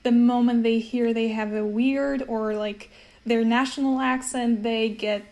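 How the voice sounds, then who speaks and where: Woman, slightly high voice, host, casual chat, animated, frequent laughter. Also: unhurried. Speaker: language teacher host. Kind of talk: casual conversation.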